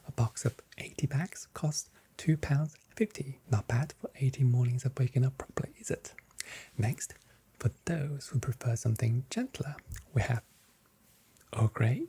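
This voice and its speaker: A very soft, whispering voice in an ASMR role play as a grocery shop assistant, giving gently playful sales patter. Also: mid-range voice, slight background noise.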